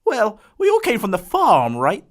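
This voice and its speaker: A woman voicing a supportive, food-loving sheep in an audio story, expressive and easygoing. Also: mid-range voice, normal speed. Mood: encouraging. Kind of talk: children's story character acting.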